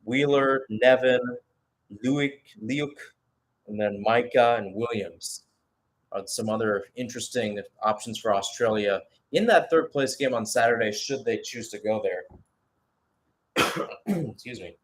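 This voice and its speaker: A man's voice: medium pitch, unhurried, long pauses. Conversational male football commentator. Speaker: sports play-by-play commentator. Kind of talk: unscripted live football commentary.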